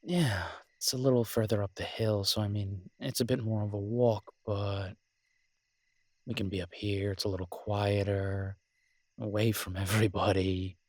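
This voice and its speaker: Low, husky, tender werewolf boyfriend played by a man. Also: steady tone, normal speed, no room sound, close to an everyday voice. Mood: tender, relaxed. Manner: hesitant, tender, conversational, lightly teasing. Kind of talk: romantic roleplay audio, intimate casual conversation.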